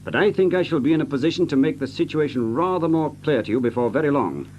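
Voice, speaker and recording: An actor playing a shrewd, commanding gentleman detective in an audio drama, with an incisive, precise delivery. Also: fairly high pitch, lively intonation, fast talker, slight background noise, lightly acted. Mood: dry composure.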